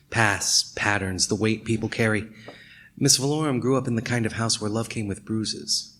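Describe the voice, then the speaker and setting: A man playing a brooding, philosophical detective in a noir radio mystery, speaking in a deliberate, musing way. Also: fairly low pitch, pushed and bright.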